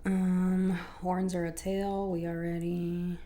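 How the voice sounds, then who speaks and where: A young woman from Miami hosts a podcast and reads out fan questions. She teases and talks bluntly, laughing easily. Her voice sits a bit low. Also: little pitch movement, slow pace, dark tone. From Miami, Florida. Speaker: podcast host. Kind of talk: casual chat.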